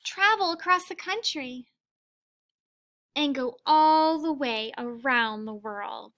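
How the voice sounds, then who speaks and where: A woman reading a children's story aloud like a teacher, in an expressive, deliberate way, with asides to her young listeners. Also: high-pitched.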